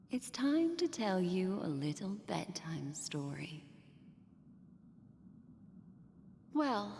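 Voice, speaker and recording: Slow, hushed mystical storyteller. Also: female voice, medium pitch, a little hiss or hum. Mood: soothing, mysterious. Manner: slow, deliberate, hushed bedtime-story delivery. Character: mysterious, teasing, soothing female storyteller in fantasy game. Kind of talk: scripted game narration. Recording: long reverb, music behind. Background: game music.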